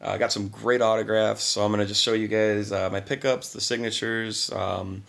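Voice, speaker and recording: Hoarse man for a laid-back collector vlog, low and gravelly, keen but quiet. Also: little pitch movement, moderate pace. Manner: enthusiastic, talking a little quiet. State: hoarse from singing and little sleep. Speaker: channel host.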